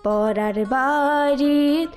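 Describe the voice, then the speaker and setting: A woman playing a shy young village bride-to-be in a rural audio drama, singing a slow plaintive folk song. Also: sits high, faint music behind.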